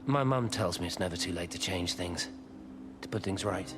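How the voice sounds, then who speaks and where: A man's voice: low voice, moderate pace, music in the background, close to an everyday voice. An actor playing an earnest, troubled British man with a hesitant manner in a naturalistic video game drama. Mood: awkward, wistful.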